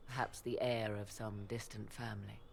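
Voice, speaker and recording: Casting: proud sorceress, gravelly edge, archaic formal diction, fantasy game drama, faint noise behind. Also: female voice, rumbling low, flat delivery. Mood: composed, guarded. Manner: formal, archaic, measured, haughty.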